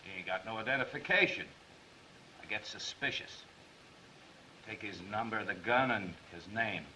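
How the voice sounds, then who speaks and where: An actor playing a suspicious pawnshop dealer with slangy, uneducated speech in a 1930s film. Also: male voice, fairly low pitch, expressive, normal speed, pushed and bright. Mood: wary. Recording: muffled, faintly noisy 1930s film soundtrack.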